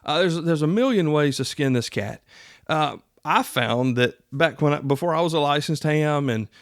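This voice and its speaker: A man's voice: a little high, animated, normal speed, no echo. Middle-aged man, dry close sound, folksy podcaster. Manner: folksy, conversational. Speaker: podcast host. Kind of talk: casual instructional podcast talk.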